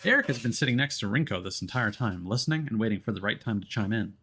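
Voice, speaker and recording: A man's voice: lowish voice, expressive, quick pace, sharp tone, slight background noise. Man reading game text aloud expressively. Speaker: streamer playing a visual novel. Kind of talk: dramatic read-aloud of game text with casual asides.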